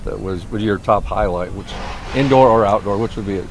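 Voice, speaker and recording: A man's voice: lowish voice, a little fast, background noise. A man asking an athlete interview questions in a friendly, conversational way. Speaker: reporter.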